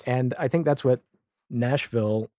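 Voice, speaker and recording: A man talking casually as a podcast guest, animated and rambling, with a self-deprecating streak. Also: mid-range voice, unhurried, no room sound, studio quality. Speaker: podcast guest, audio drama creator. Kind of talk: casual podcast interview chat.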